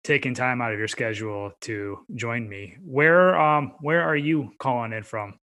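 An American man hosts a conversational podcast interview. His voice sits at an ordinary middle pitch, and he speaks in an informal, encouraging manner. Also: normal speed, pushed and bright. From upstate New York, United States.

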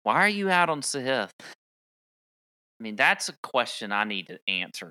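A man co-hosting a casual golf show chat, jokey and blunt, full of questions. His voice is bright and sharp, and his pitch leaps around. The recording is dry. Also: sing-song, measured pace, no room sound.

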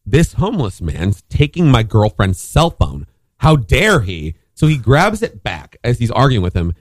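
A man rambles through a story in relaxed podcast chat. He keeps stalling on fillers and restarting sentences. His voice sits mid-range and close on a dry mic. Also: mid-range voice, measured pace, no room sound. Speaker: podcast co-host telling a story.

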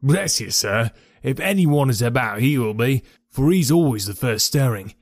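A man's voice: medium pitch, animated, moderate pace, no room sound. A wary male stable groom, voiced by a man reading a storybook, brusque at first and deferential to his betters. The recording is dry and close.

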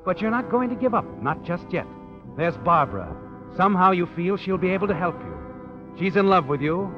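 An insinuating suspense narrator from vintage radio, knowing and ominous. His voice sits a bit high for a man. Dramatic music plays behind the dull old recording. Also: sing-song, brisk. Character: knowing, taunting male narrator haunting the hero. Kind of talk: 1940s radio suspense narration in second person.

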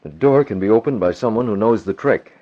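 An actor playing a confident young publisher and secret crime-fighter, calm and commanding, in old-time radio drama style. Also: male voice, lowish voice, brisk. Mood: calm, determined. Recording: muffled old radio broadcast.

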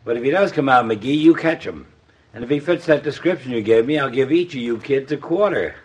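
Husky, teasing radio doctor. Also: male voice, medium pitch, lively intonation, fairly quick, darkish tone, low-quality recording. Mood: amused. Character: teasing, sceptical small-town doctor, wryly amused. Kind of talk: old-time radio comedy acting.

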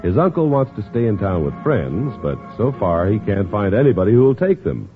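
Animated male announcer, tinny old radio. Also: lowish voice, fairly quick, faint music behind. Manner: polished sales delivery. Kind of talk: 1950s scripted radio commercial and narration. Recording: thin, band-limited old radio broadcast.

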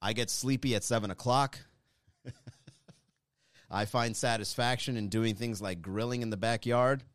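Man, 30s, comedian chatting to his audience, smooth dry low voice. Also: fairly even intonation, moderate pace, pauses often, a bit bright, no room sound. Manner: rambling, self-deprecating, chatty. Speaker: comedian talking to viewers. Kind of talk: casual monologue to an online audience.

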